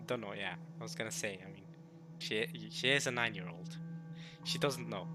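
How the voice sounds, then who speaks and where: A man who speaks English as a second language, giving casual, rambling let's-play commentary and reading game text aloud, with excitable bursts. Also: lowish voice, moderate pace. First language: not English. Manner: rambling, repeats and rephrases himself, excitable bursts. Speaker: streamer playing and reading a visual novel. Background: game music.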